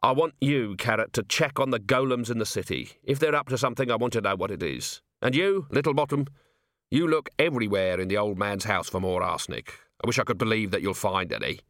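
A man reading an audiobook, voicing a weary, gruff middle-aged male watch commander with a dry, exasperated manner. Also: fairly low pitch, expressive, brisk, a bit bright, no room sound.

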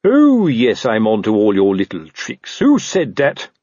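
A man's voice: on the low side, wildly swooping pitch, unhurried, mellow, no room sound, highs cut off, full character voice. A man voicing a fat, old, pompous police captain who snaps officiously and suspects everyone, in a fantasy audiobook. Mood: blustering. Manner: snapping, blustering, officious.

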